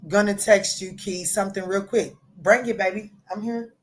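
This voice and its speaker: A woman's voice: mid-range voice, steady tone, normal speed, slight background noise. A woman, hosting a casual tarot livestream and chatting loosely with viewers. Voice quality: raspy. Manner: chatty, loose and playful, greeting viewers by name. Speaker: tarot reader and livestream host.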